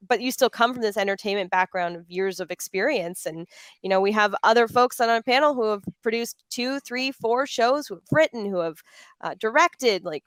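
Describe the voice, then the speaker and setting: A woman hosts a relaxed panel conversation. She sounds affirming and encouraging, with an everyday mid-range pitch. The sound is dry, with very little room on it. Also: no echo. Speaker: panel moderator and podcast creator. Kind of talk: casual panel moderating.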